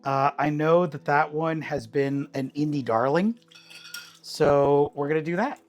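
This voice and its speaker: A slightly hoarse man chatting casually with viewers while cooking in his home kitchen, rambling in an easygoing way and chuckling often. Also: fairly high pitch, unhurried, soft background music. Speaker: streamer.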